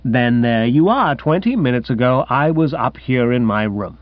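A man voicing a breezy, excitable young upper-class English gentleman in a comic audiobook, chirpy and indignant, with old-fashioned slang. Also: mid-range voice, moderate pace, no room sound, highs cut off, close to an everyday voice. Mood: breezy indignation. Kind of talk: comic audiobook character acting.

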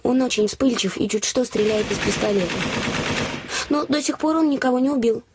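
A woman's voice: fairly high pitch, fairly quick, heavy background noise, close to an everyday voice. A woman playing an earnest, chatty young innkeeper's daughter, confiding and artless, in a fairy-tale radio play.

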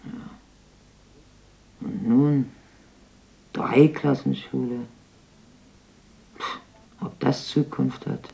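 A man's voice: medium pitch, fairly even intonation, extremely slow, gravelly, pauses often, a little mellow, exaggerated voice. An actor playing a sly old village man who rambles and bargains shrewdly over flour in a radio play. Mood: haggling. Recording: dull lo-fi radio play recording.

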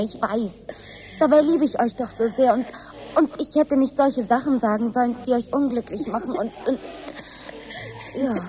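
An actress playing a troubled grown daughter in a German radio drama, halting, anxious and emotional. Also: female voice, fairly high pitch, warm tone, a little hiss or hum, poor audio.

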